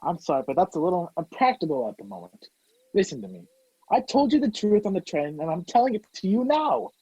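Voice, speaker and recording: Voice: medium pitch, big pitch swings, a little fast, mellow, husky, dry recording. An actor playing Richard Hannay as a brusque, sardonic man on the run in an old-fashioned radio play, recorded muffled over a video call. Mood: menacing, sarcastic. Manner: commanding, sarcastic.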